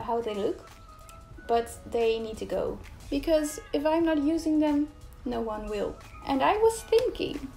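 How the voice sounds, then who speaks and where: A woman's voice: fairly high pitch, warm tone. A young Dutch woman, a native Dutch speaker, chatting casually in English as a YouTuber and illustrator, openly searching for words at times. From Netherlands. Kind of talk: casual chat while drawing. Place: home art studio. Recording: roomy home studio sound. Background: faint background music.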